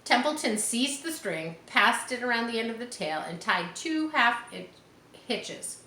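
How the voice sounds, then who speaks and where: A woman reading a children's story aloud expressively, pausing to explain things as if to schoolchildren. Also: a little high, sing-song, sharp tone. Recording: roomy echo, faint background noise.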